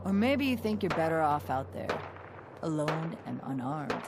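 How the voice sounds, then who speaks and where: A woman's voice: sits low, big pitch swings, normal speed, very noisy. A woman playing a cold, threatening raider overseer in a video game, hard and intimidating. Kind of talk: video game cutscene dialogue. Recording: loud music over the voice.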